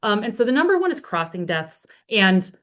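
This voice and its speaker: Woman, conservation advocate, webinar talk over a video call; slightly hoarse from a cold, friendly, lots of fillers. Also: mid-range voice, sing-song, moderate pace, fairly bright tone. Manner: friendly, talks freely with many ums and uhs. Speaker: conservation advocate presenting a report. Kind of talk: webinar presentation.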